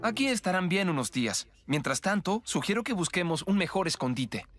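A man with a Latin American Spanish accent playing an earnest, encouraging young team leader in an animated series. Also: high-pitched, fairly quick. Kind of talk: animated series dub acting. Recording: loud music behind the voice.

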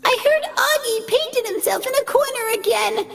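Squeaky, creaky little game creature. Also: female voice, normal speed, music in the background. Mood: playful.

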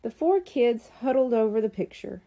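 A woman reading a children's storybook aloud in an expressive, animated way, acting out the dialogue. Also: on the high side, normal speed, lo-fi sound. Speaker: audiobook reader.

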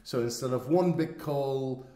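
A man's voice: medium pitch, a little slow, warm tone. A man hosting a podcast casually, wry and often searching for his words. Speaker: podcast host. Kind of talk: casual, unscripted show introduction. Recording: roomy, echoing sound.

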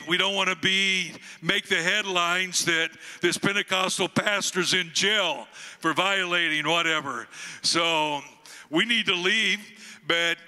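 An older man in his sixties from the United States, a guest pastor with a booming voice, preaching and praying slowly into a handheld microphone on a church stage. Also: pushed and bright. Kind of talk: sermon and prayer. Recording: long hall reverb, faint music behind.

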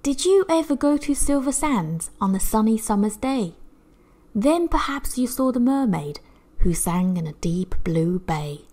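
Very expressive woman reading a storybook. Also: medium pitch. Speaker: children's story reader. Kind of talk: expressive rhyming picture-book narration.

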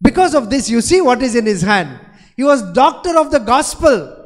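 A Franciscan priest in his forties preaches with a strikingly high voice, pressing his points with slow stresses and repeated phrases. He speaks into a stand mic. His words ring out with the long reverb of a large church. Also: sing-song. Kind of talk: sermon.

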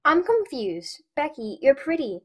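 A woman's voice: high voice, sing-song, measured pace. A woman playing a naive, puzzled young teenage girl in an audio drama.

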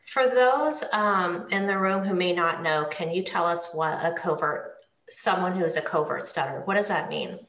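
A middle-aged woman moderating a panel over a video call from home, with a gentle manner and frequent repeated words. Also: fairly low pitch, normal speed, a little hiss or hum. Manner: gentle, repeats words, asks follow-up questions. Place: room at home, indoors. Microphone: laptop webcam on video call. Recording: echoey room sound.

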